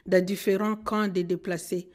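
A middle-aged woman from Congo, a Kinande speaker, answering in a radio interview in an earnest, measured way. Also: medium pitch, little pitch movement, a little mellow. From Democratic Republic of the Congo. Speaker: social worker and guest.

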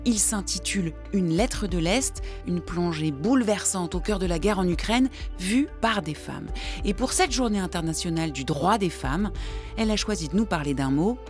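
Woman host, lowish voice, scripted radio delivery, faint music bed. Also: lively intonation, moderate pace. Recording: broadcast voice with light music underneath.

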